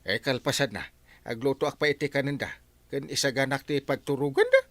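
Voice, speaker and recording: A man's voice: mid-range voice, brisk, a bit bright, dry recording, close to an everyday voice. A Filipino man acting a fretful, insistent middle-aged village captain in an audio drama. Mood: brisk, fretful.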